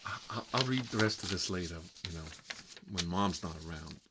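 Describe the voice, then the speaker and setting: A man playing a fussy, gossipy bachelor uncle in an improvised comedic ASMR roleplay, rambling and confiding in a prissy, halting way. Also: sits low, very noisy, close to an everyday voice. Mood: chatty, wistful.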